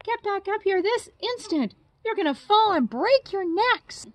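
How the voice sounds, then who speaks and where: A woman's voice: extremely high pitch, lively intonation, a little slow, dry recording, distant mic, voice pushed up. A woman doing a strict scolding mother bird. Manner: sharp and scolding.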